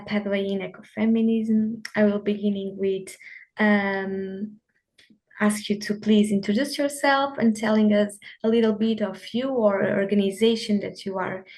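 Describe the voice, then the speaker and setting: A woman's voice: mid-range voice, a little mellow, rough recording. Hesitant woman interviewer, many fillers. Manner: hesitant, filler-heavy, polite. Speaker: podcast host, interviewer. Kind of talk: interview questions.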